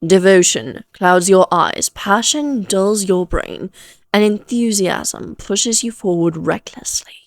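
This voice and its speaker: A woman plays an ancient vampire lady in a Victorian fantasy audio drama, speaking slowly and close in a very dry space. She is formal and theatrical, taunting her listener with amused, aristocratic self-assurance. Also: mid-range voice, expressive, no room sound. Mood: menacing, condescending.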